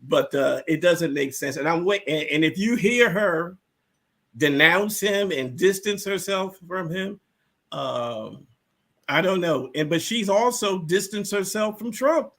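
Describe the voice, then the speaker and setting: High-pitched, bright-voiced emphatic man. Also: a little slow. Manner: animated, emphatic, repeats himself. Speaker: guest panelist. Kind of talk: casual opinionated panel discussion.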